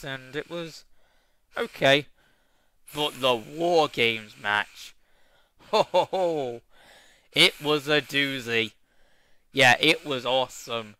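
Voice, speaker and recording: A young man talks through a wrestling show review in a loose, rambling way, stopping and restarting often. His voice is bright and smooth, with a slight tickly cough. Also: mid-range voice, dry recording. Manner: rambling, hesitant, many fillers and restarts. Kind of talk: casual review chat.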